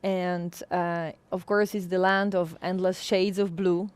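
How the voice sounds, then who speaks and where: Female interviewer, Polish accent, lower-pitched voice, teacher hosting guests in conversational English. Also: little pitch movement, measured pace, clean tone, no room sound. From Poland.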